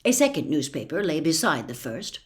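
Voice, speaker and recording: A woman reading a novel aloud as a storyteller, in a dramatic, deliberate, expressive audiobook style. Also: deep voice.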